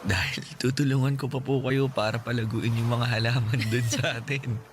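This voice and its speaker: An actor with a Filipino accent playing a gentle, brave, sickly young son in a radio drama, speaking respectfully and tenderly. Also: male voice, medium pitch, fairly even intonation, normal speed, music in the background, lightly acted. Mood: pleading, hopeful. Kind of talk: Tagalog radio drama acting. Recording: clearly noisy ambient background.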